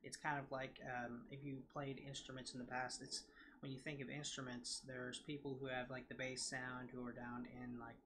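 A man in his twenties with a nasal voice, chatting casually to camera in a rambling, excited way full of fillers and restarts, recorded close on a desk mic in a large room at home. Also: mid-range voice, moderate pace, noisy background. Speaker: gaming vlogger. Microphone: desk mic on a scissor arm, close.

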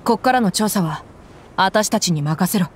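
A woman's voice: mid-range voice, animated, normal speed, a little hiss or hum, lightly acted. An actress as a blunt, tomboyish mercenary woman. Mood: confident concern. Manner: blunt, tomboyish rough speech. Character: brash, easygoing young woman mercenary, protective and self-assured. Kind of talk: video game voice acting.

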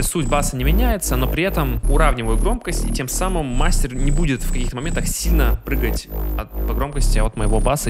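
A young man explaining music production in an informal, slangy tutorial style, talking into a desk microphone in a home room. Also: medium pitch. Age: young adult. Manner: informal, slangy, peppered with tag words. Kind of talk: casual screen-recorded tutorial, explaining. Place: small home room, indoors. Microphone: desk microphone close to the mouth. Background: loud music from the track being mixed.

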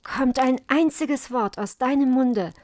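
A woman's voice: high voice, measured pace, a little mellow, dry recording. A woman voicing grave enchanted swan brothers in a fairy tale, earnest and cautioning. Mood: grave, regretful. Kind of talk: fairy tale storybook reading.

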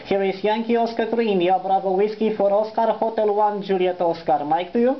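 A man's voice: sits high, steady tone, a little fast. A man with a Romanian accent making an amateur radio contact, courteous and formulaic, spelling phonetically. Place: indoors, at a desk beside the transceiver. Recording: dull lo-fi sound with faint noise.

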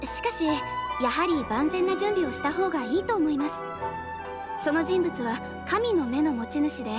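Composed young female guild receptionist, anime game: high voice, formal and courteous, music underneath. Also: expressive, moderate pace.

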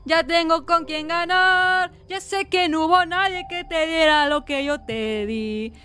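A woman's voice: extremely high pitch, measured pace, bright, forceful tone, faint music behind. A woman with a Mexican accent commentating a horror game as a streamer, dramatic and teasing, sometimes breaking into song. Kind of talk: gaming livestream commentary.

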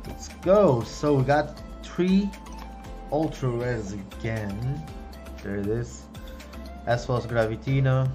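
A young man giving casual running commentary as he opens trading card packs, chatty, excited on big pulls and low on misses, recorded at home on a desk mic. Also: slow pace, long pauses, soft background music. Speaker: card-opening youtuber. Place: home room, indoors.

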